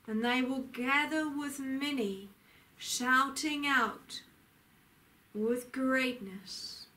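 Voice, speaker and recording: A woman preaches a channeled sermon in a high, bright voice. She speaks very slowly and solemnly, drawing each phrase out with long pauses, and declaims like a prophet addressing the faithful. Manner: solemn, declamatory, pausing often. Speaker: spiritual channeler.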